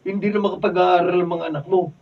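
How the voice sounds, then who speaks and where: A man's voice: high voice, level tone, quick pace, natural voice. A man acting a worn-down, grumbling road labourer husband of about fifty in a Filipino radio drama, with a plaintive manner. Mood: distressed, pleading. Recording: distant, dull, faintly noisy studio recording.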